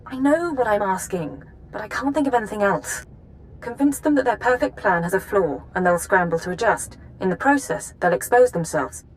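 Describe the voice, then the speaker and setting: Grave female spymaster, acted by a woman, faint rumble. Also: expressive, moderate pace, natural voice, poor audio. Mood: grave, urgent. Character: female intelligence chief, grave commanding spymaster, resolute. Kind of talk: video game dramatic acting.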